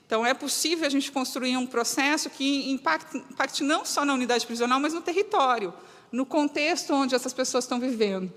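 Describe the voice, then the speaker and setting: A woman with a southern Brazilian gaúcho accent speaks in a notably high voice. She lectures in an earnest, semi-spontaneous way, restarting phrases and pressing her points. Long reverberation surrounds her, as in a large hall. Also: fairly bright tone. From Rio Grande do Sul, Brazil. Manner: earnest, emphatic, often breaking off and restating. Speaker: guest speaker. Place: reverberant hall, live talk to an audience.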